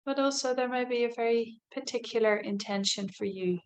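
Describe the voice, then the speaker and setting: A woman leading a guided body scan meditation as a teacher, speaking gently and without hurry, in soft invitations with long pauses. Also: a little high.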